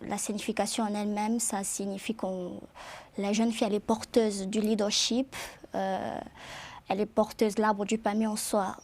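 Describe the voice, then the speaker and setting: A woman's voice: medium pitch, level tone, normal speed, a little mellow. A young woman from the DR Congo, president of a youth association, explaining herself earnestly with fillers on a TV panel. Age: young adult. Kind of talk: TV panel discussion.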